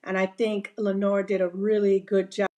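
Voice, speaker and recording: Woman guest on a video call, hesitant, lots of ums and likes, middle pitch. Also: level tone, moderate pace, mellow. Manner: hesitant, full of fillers. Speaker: cast member guest.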